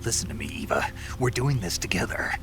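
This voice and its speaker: An actor playing a gruff, tough American secret agent in a video game, with a husky voice and clipped, urgent delivery, over music. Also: male voice, deep voice, expressive, fairly quick. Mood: pleading. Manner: terse, clipped. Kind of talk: dramatic video game cutscene acting.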